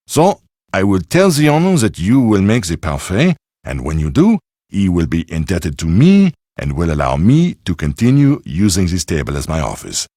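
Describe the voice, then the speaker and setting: A man's voice: sits low, no echo, clean studio sound. A man acting as a suave, self-important Frenchman in a video game, with a French accent and pompous, precise delivery.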